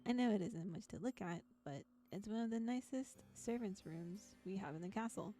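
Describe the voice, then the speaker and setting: A woman acts out a young visual novel princess, lonely and anxious to please. Her voice is mellow and gentle, with a hesitant manner, over background music. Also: mid-range voice, expressive, moderate pace, natural voice.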